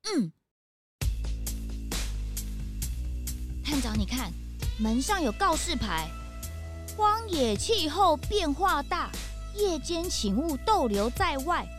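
A woman's voice: high voice, big pitch swings, takes it slowly, music in the background, exaggerated voice. A woman voicing a naive, eager young detective's assistant, childlike and exclamatory, in a children's audio drama. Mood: alert, curious.

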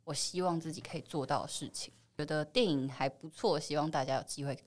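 Lower-pitched Taiwanese woman, reflective. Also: fairly quick. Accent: Taiwanese Mandarin. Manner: reflective, explains at length. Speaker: guest actress. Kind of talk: conversational interview answers.

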